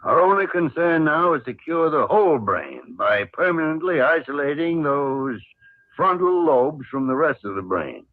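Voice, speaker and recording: Blustery old radio-drama doctor, muffled vintage sound. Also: male voice, fairly high pitch, sing-song, moderate pace, no echo. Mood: grave explaining. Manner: blustery, brusque, sardonic. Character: gruff, blustery older male doctor, crusty mentor. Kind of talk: 1950s radio drama acting. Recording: old 1950s radio broadcast, muffled narrow band.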